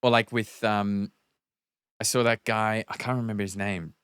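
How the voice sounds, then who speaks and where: A man with a fairly low voice chats casually as a podcast co-host. His way of talking is blunt and informal. The recording is dry and free of room sound. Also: fairly even intonation, moderate pace, a bit bright, smooth, no echo.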